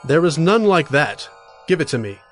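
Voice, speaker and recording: A man's voice: a little high, very animated, normal speed, faint music behind. A man playing an earnest, persuasive young warrior on the run in a dramatized Bible story, firm and formal. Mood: urgent, resolute.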